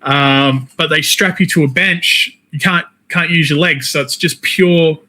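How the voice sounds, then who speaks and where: A man's voice: on the high side, steady tone, a little slow, sharp tone. A man with an Australian accent chatting casually as an interview guest, informal and talkative. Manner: chatty, peppers talk with "man" and "like". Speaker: interview guest, wrestler and game streamer.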